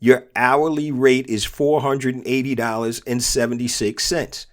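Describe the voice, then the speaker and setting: A man hosting a motivational business podcast, speaking through a cold with a stuffed-up nose and a sore throat. His voice sits at an ordinary middle pitch for a man. He talks earnestly and repeats each key point so that it lands. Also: level tone, a little slow. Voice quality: congested. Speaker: podcast host and business coach.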